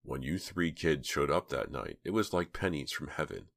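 A man voicing a big, cold, menacing male killer in a storybook reading, speaking low and slow. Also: lively intonation, vocal fry, a bit bright, no room sound, full character voice.

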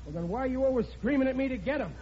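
Blustery stubborn american working class dad, high voice, old radio comedy, noisy muffled recording. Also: male voice, lively intonation, racing, dark tone. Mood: stubborn, defensive. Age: middle-aged. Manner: blustery, emphatic. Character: blustering, stubborn working-class father, easily swayed by money. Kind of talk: 1940s radio sitcom acting.

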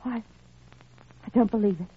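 Casting: proud, wounded young patient, tremulous high voice, melodramatic vintage radio acting, thin tinny recording. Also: female voice, normal speed, husky. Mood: guarded, doubtful. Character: bitter, fragile, proud young female patient. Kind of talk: old-time radio drama acting. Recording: tinny, band-limited old radio recording.

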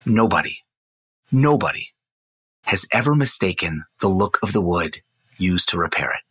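An actor playing a fascinated investigative storyteller, narrating a documentary-style fiction podcast in a musing, deliberate way with dramatic pauses. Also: male voice, deep voice, lively intonation, a bit bright, breathy edge, full character voice. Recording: guitar music under the voice. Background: plucked guitar music.

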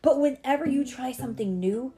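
A woman's voice: high-pitched, fairly quick, over music, voice pushed up, lightly acted. A woman voicing a responsible, kindly boy who leads a rescue team, confident and instructing, in a children's storybook. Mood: reassuring.

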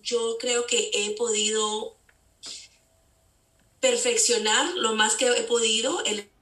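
A woman's voice: a little high, fairly even intonation, slow pace, bright, forceful tone. A woman therapist, a guest on a casual interview podcast, explaining earnestly and often restarting her sentences while she searches for words. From California. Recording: echoey room, distant mic.

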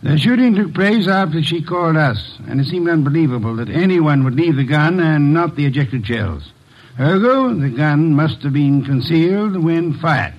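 An actor playing a shrewd, commanding detective boss in an old radio drama, speaking in a deliberate, pompous and erudite way. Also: male voice, a little high, animated, lo-fi sound. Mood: smug explaining.

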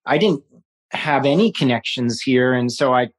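A man's voice: medium pitch, lively intonation, normal speed. A man in his forties from New York City, a guest talking loosely in an interview, with lots of restarts and fillers and frequent laughs. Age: 40s. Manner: rambling, many restarts and fillers, laughs often. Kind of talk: casual interview conversation.

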